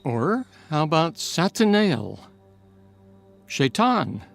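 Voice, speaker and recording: A man as an animated, slow-paced elderly scholar, mocking. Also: fairly high pitch, creaky, faint music behind, exaggerated voice. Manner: eloquent, theatrical, mocking. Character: elderly learned scholar, fearless, wry and taunting. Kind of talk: dramatized audiobook acting.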